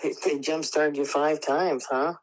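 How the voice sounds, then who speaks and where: A woman's voice: low voice, fairly even intonation, a little slow. A woman chatting informally on a phone call, curious and full of questions. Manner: informal, inquisitive, hedging with "like" and "you know". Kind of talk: casual chat. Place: phone conversation.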